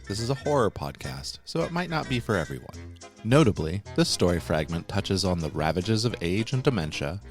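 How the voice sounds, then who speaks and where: Very deep-voiced man narrating. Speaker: host and narrator of a horror literature podcast. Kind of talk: scripted narration, audiobook-style reading of a horror story.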